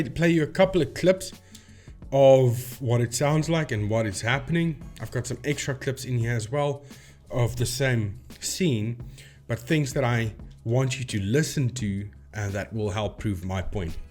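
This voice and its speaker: A man's voice: mid-range voice, unhurried, a little mellow, soft background music. A man hosting a video channel, explaining things informally and often stopping to rephrase himself. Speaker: host of a paranormal debunking video channel.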